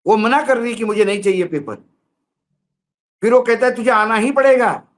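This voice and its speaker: A man's voice: very high voice, normal speed. A man giving opinionated news commentary in an indignant, emphatic way, driving points home with rhetorical questions. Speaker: political commentator on news show.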